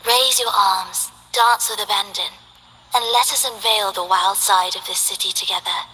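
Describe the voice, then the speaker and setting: A woman voicing a playful female conductor who hosts a city's night revels, in game voice lines, speaking in a hushed, teasing, theatrical way. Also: medium pitch, fairly even intonation, normal speed, slightly rough, long reverb tail, low-quality recording.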